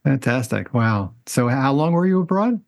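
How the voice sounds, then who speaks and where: A man's voice: mid-range voice, big pitch swings, moderate pace. A man hosting a podcast, reading an intro and then asking friendly, short questions. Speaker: podcast host.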